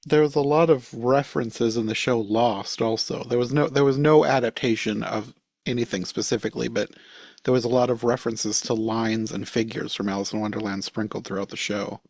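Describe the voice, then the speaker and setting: A man's voice: mid-range voice, steady tone, fairly quick, a little mellow, no room sound. A man talking casually as a panelist in a podcast discussion.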